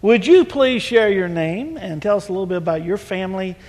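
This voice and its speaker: A man leading a church service and hosting a panel, talking in a relaxed, folksy way with a chuckle. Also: high voice, fairly quick. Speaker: pastor. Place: church sanctuary. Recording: hall reverb.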